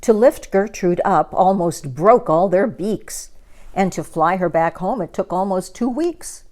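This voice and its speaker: A woman storyteller reading a children's story aloud in an expressive, dramatic and deliberate manner. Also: on the low side.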